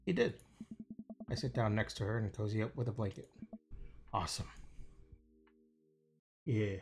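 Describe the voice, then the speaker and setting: A man's voice: on the low side, animated, normal speed, vocal fry, breathy edge. A man livestreaming a game, casually reading dialogue aloud and sometimes stumbling over the lines in an informal, hesitant way. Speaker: game streamer. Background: game music.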